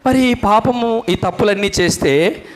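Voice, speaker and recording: A man's voice: high voice, unhurried, low-quality recording. A man working as a Sunday school puppet show host, talking to children, prompting them questions and repeating the answers.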